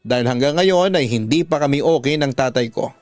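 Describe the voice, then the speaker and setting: A man's voice: mid-range voice, fairly quick, a little mellow, no room sound. A man with a Filipino accent, a radio drama host reading out a listener's letter as a dramatic story and giving advice.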